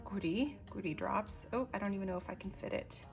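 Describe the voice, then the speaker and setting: A woman talks through her hobby supplies in a relaxed show-and-tell style, wandering into small asides and filling pauses with ums. Her voice sits at an ordinary middle pitch. Quiet music plays faintly behind her. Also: gravelly. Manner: chatty, unhurried, lots of ums, asides while working. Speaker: stationery vlogger. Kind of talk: casual show-and-tell narration.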